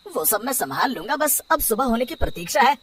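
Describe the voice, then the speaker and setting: A woman's voice: a little high, very animated, a little fast, background noise. A woman voicing a greedy, scheming male farmer in a children's cartoon story, theatrical and exclamatory.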